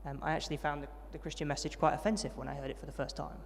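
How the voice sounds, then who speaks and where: A young man recounts his upbringing at a brisk pace and a slightly raised pitch. He is friendly and self-deprecating, with plenty of ums. His voice rings with the long reverb of a large hall. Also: little pitch movement, vocal fry, a little hiss or hum. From Yorkshire, England. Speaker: interviewee, trainee vicar. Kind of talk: testimony interview at a student event.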